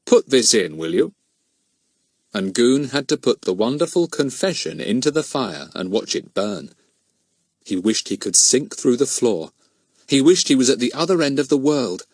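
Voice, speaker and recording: A man's voice: mid-range voice, expressive, normal speed, darkish tone, no echo, studio quality. A man reading a children's story as scripted audiobook narration.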